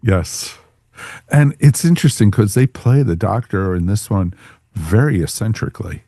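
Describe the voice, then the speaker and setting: Deep, mellow-voiced male podcast host. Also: expressive, moderate pace, dry recording, studio quality. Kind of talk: casual review discussion.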